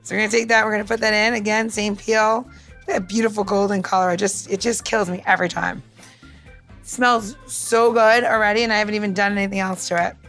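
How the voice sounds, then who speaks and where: A woman's voice: mid-range voice, flat delivery, a little fast, fairly bright tone, faint music behind. A woman co-hosting a podcast, talking in a casual, instructional way with an informal, emphatic and jokey manner. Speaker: podcast co-host demonstrating drink recipes.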